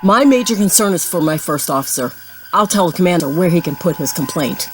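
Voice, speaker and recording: A woman's voice: fairly low pitch, animated, a little fast, soft background music, natural voice. A woman playing an impatient, blunt starship captain in a sci-fi audio drama.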